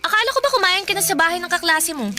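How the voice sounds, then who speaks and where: A woman's voice: very high-pitched, lively intonation, rapid-fire, over music. A woman acting a cheerful, earnest young elder daughter with a Filipino accent in a radio drama.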